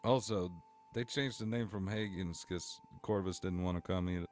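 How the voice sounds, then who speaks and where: A man plays a roleplay game on a live stream, talking in character. His voice sits low. He speaks in a boastful, joking manner and swears freely. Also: fairly even intonation, moderate pace, a little mellow, soft background music.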